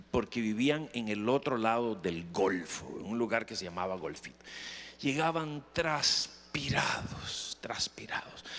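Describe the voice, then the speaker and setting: A man's voice: medium pitch, fairly bright tone. A middle-aged or older man with a Costa Rican accent preaching emphatically, putting questions to his congregation and repeating phrases. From Costa Rica. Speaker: pastor preaching. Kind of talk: sermon with anecdotes and questions to the congregation. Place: camp meeting hall with congregation. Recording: reverberant hall, long echo.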